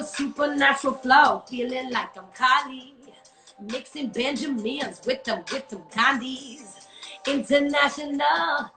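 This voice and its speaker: A woman's voice: high-pitched, creaky, fairly bright tone, roomy sound. A woman recording artist singing and rapping in a home studio, switching into Hindi lines and vocal percussion, then chatting casually.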